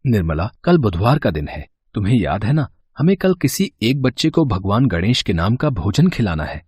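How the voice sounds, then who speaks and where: Man acting a husky, pious, animated husband. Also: fairly low pitch, normal speed, dry recording. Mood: persuading. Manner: earnest, persuasive. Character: pious, gentle, reasonable husband urging generosity.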